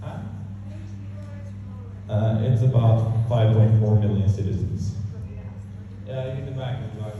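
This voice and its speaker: A young man from Norway in his late teens, speaking English with a Norwegian accent in a relaxed, hesitant way, with many "uh" and "um" pauses, giving a presentation and answering questions in a school assembly hall. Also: on the low side. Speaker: visiting exchange student presenter. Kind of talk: casual presentation and Q&A. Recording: echoey hall from far off, dull and noisy.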